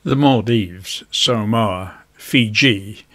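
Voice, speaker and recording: Older man, low measured voice. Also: fairly bright tone. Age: 70s. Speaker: video host, ham radio hobbyist. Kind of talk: instructional talk to camera. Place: indoors at a desk, virtual backdrop.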